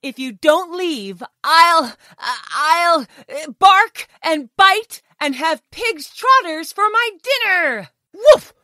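A woman's voice: very high voice, lively intonation, slow pace, few pauses, bright, forceful tone, dry recording, clean studio sound, voice pushed up, heavily acted. A woman voicing a stubborn, territorial female dog in a children's storybook, with a blustering, barky way of talking. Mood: defiant, threatening. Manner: blustering, stammering threats with barks.

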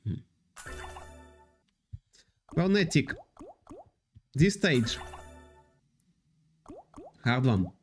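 A man in his thirties hosting a live slots stream from an indoor room, talking loosely to his chat in halting, repetitive English. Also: mid-range voice, big pitch swings, faint music behind. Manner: reads and answers chat, loose non-native English with repetitions.

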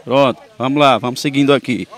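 A man's voice: mid-range voice, steady tone, fairly quick. A middle-aged man, hosting roving interviews at an outdoor livestock market with a handheld mic, folksy and upbeat. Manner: folksy, upbeat, addresses viewers directly. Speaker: host.

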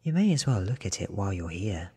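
A man voicing a puzzled, mildly suspicious young man in soft, breathy ASMR audiobook dialogue. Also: low-pitched, big pitch swings, fast, long reverb tail, full character voice.

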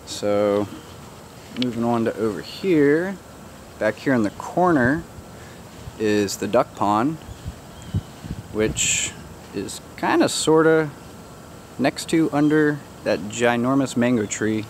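A man casually showing viewers around his backyard garden outdoors, chatty and relaxed, joking with his animals. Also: medium pitch, takes it slowly, long pauses, slight background noise. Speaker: gardener giving a backyard tour. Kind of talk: casual walk-through narration.

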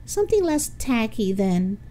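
A woman's voice: a little high, sing-song, a little slow, warm tone. A woman voicing a prim, snobbish schoolgirl with haughty, precise diction in a storybook reading. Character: prim snobbish girl, class presidential candidate.

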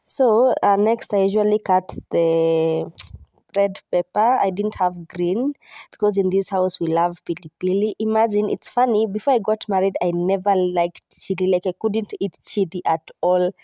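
A woman's voice: medium pitch, steady tone, moderate pace, mellow, no echo. A woman with a Kenyan accent giving a chatty, casual vlog voice-over, now and then slipping into Swahili. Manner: chatty, code-switches into Swahili. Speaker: vlogger, host. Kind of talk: casual voice-over narration of a home cooking routine.

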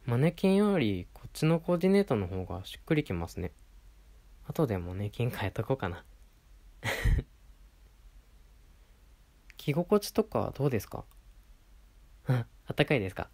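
A man acts out a male clothing store clerk in a situation-voice roleplay. He has a mid-pitched voice with lively intonation. He speaks in courteous customer-service style, attentive to the customer he is addressing. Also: moderate pace, gravelly, pauses often. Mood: helpful, friendly. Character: polite, attentive male clothing shop assistant serving a regular. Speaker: voice performer in a situation voice audio.

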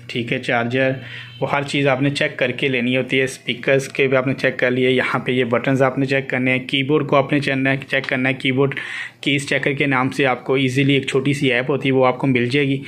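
A man walks through a hands-on tutorial in a medium-pitched voice. He instructs step by step in unscripted speech, often repeating phrases. The homemade phone recording carries noticeable noise behind him. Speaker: tech tutorial presenter. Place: indoors at a desk with a laptop. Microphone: handheld phone behind the camera.

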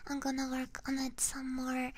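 A woman hosting a live ASMR stream, chatting casually in a soft, mostly whispered voice close to the microphone. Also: sits high, completely flat delivery, moderate pace, a little hiss or hum. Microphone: close binaural ASMR microphone.

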